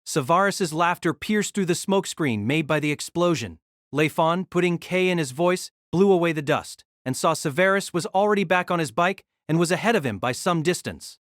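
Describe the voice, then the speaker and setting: A man's voice: on the high side, lively intonation, moderate pace, dry recording, clean studio sound. A man giving a dramatic scripted narration of an action fantasy story.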